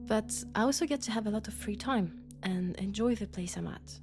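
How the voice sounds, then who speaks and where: A woman with a French accent, sick with a cold, playing a gentle, sociable traveling doctor from Mars in an intimate sci-fi audio roleplay, chatty and soft. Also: medium pitch, brisk, over music, lightly acted. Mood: chatty, amused. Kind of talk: intimate sci-fi roleplay acting, one-sided conversation.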